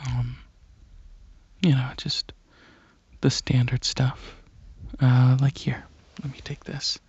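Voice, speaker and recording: A man plays a gentle alien dragon scientist in an ASMR roleplay, speaking softly and tentatively in a slow, flat delivery. He pauses often and slips toward whispers. The audio is dull and lo-fi with a clear hiss. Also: mid-range voice, no echo. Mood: soft, shy, reassuring. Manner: halting, tentative, many pauses and hesitations. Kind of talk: ASMR roleplay, soft speech drifting into whispers.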